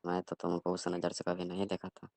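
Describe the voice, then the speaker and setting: Young guy chatting casually on a call, deep gravelly voice, short reserved replies, dry sound. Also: monotone, fairly quick, a little mellow, no room sound. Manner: reserved, terse. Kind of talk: casual phone conversation.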